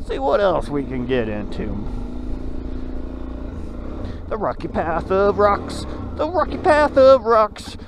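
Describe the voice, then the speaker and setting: Swearing biker talking over wind noise. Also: male voice, high voice, big pitch swings, slow, pauses often. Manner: profane, joking, rambling. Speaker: motovlogger. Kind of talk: casual helmet-cam commentary while riding. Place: riding a motorcycle on a mountain road outdoors. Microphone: helmet camera mic. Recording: wind and engine noise behind.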